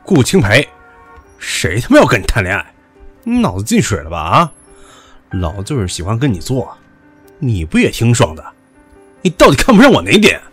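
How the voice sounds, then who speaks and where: A man's voice: mid-range voice, huge pitch swings, moderate pace, long pauses, fairly bright tone, husky, soft background music, heavily acted. A man acting in an audio drama as a blunt, hot-headed and possessive young rich man with a demanding manner. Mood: angry, demanding.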